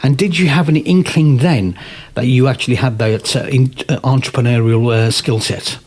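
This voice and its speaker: Fields: Sex: male. Role: radio host. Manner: formal, flattering. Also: medium pitch, moderate pace, husky. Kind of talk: scripted intro then interview questions.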